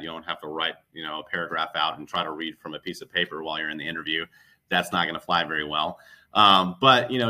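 A man's voice: sits low, pushed and bright. A man speaking conversationally as a recruiter guest, coaching with emphatic, repeated phrases. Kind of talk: conversational interview advice.

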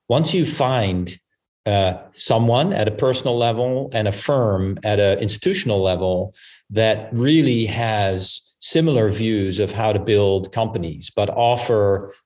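A man with a fairly low voice answers as a finance guest in a panel interview. He explains at length in a measured way, often pausing to find the next phrase. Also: a little mellow. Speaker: growth equity investor, panel guest.

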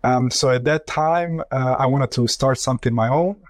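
A man's voice: mid-range voice, normal speed, no room sound. Croatian-accented man, dry close recording. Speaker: company CEO, podcast guest. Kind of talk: conversational interview answers.

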